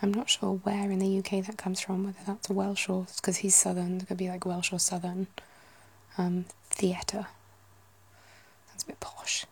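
A woman's voice: medium pitch, monotone, moderate pace, breathy edge. Whispering British woman in her thirties. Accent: British English. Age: 30s. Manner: whispering, musing, self-correcting. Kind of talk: whispered ASMR chat.

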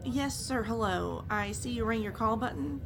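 A young woman playing a sharp-tongued, domineering flight attendant who mocks and taunts. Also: fairly high pitch, normal speed, creaky, background noise. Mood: polite. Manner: mocking, teasing taunts. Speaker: voice actor in an audio roleplay. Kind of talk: adult audio roleplay.

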